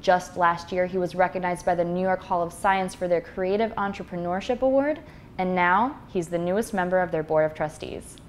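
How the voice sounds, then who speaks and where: Host: young woman, lowish voice, polished and measured, lapel mic with faint room ambience. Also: a little hiss or hum. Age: young adult. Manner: polished, measured presenter delivery. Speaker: interview host. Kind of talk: scripted introduction and interview questions. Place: office with bookshelves. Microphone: clip-on lapel mic.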